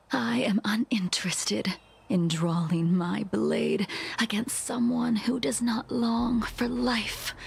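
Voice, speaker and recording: A woman voicing a solemn, world-weary immortal swordswoman master in a video game, with measured, breathy, near-whispered lines. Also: medium pitch, full character voice. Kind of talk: dramatic video game voice acting. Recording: loud music behind the voice.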